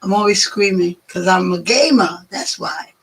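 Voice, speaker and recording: Hoarse, gravelly chatty woman. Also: medium pitch, animated. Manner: chatty, rambling, drawn-out. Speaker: guest, host's mother. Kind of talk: casual storytelling chat.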